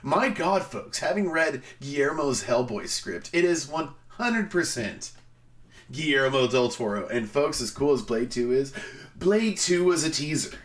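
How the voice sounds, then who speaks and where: A man speaks with theatrical flair, as a streamer performing for effect. His pitch swoops widely, and he leans hard on words with emphatic pauses. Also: sing-song. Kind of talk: dramatic reading of a film review.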